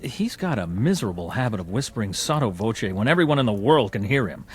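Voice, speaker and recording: An actor playing a glib, shallow, boastful society dandy with an affected, talkative manner in a radio drama. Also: male voice, fairly low pitch, very animated, quick pace. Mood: animated, scornful. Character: glib, shallow, boastful upper-class dandy club bore.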